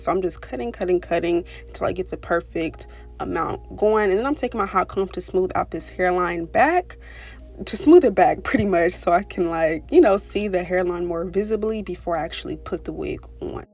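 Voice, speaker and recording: A young woman with a raspy voice, talking casually through a hair tutorial voice-over, full of "you know" and "pretty much". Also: lowish voice, brisk. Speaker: beauty vlogger. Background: soft background music.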